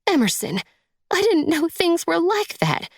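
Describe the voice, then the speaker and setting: A woman's voice: medium pitch, moderate pace, creaky, dry recording, clean studio sound, voice pushed up. A woman voicing a tearful, vain young trophy wife in an audiobook, with a whiny, sniffly delivery. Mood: tearful, distraught.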